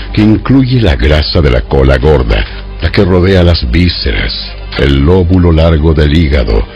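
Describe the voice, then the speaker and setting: Deep, gravelly male Bible narrator. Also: darkish tone, muffled recording. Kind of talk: scripted dramatized Bible narration.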